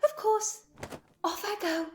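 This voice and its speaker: A woman's voice: very high-pitched, fairly even intonation, slow pace, faint music behind. A woman voicing a timid, eager servant to a monster queen in an audio roleplay, obsequious and quavering. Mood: nervous, fawning.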